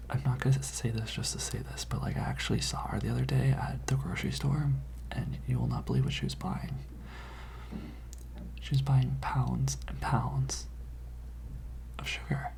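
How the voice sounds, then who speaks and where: Gossipy teen student for ASMR roleplay, played by an adult: conspiratorial, rambling whisper with a creaky edge. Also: mid-range voice, darkish tone. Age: teenage character. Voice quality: breathy whisper with creaky edges. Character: gossipy, dramatic high school student whispering about a librarian.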